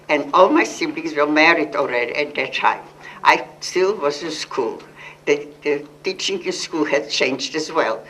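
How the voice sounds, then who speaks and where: A woman's voice: low-pitched, a bit bright, distant mic, a little hiss or hum, low-quality recording. An older woman from Poland with a Polish accent, giving an earnest personal testimony to an audience and often correcting herself mid-sentence. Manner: earnest, halting, with restarts and self-corrections. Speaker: Holocaust survivor speaker.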